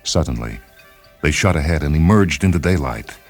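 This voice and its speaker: A male storyteller with an exceptionally deep, bottom-register voice delivers scripted dramatic narration. Faint music plays beneath his reading. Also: animated, moderate pace.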